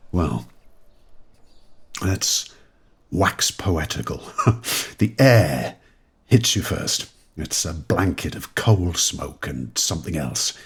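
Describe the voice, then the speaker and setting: An actor playing a rattled, wry man in an audio drama, musing haltingly and swearing freely. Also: low-pitched, slightly rough, heavily acted. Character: rattled man who has crossed between eras, wry, sweary. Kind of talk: modern audio drama monologue.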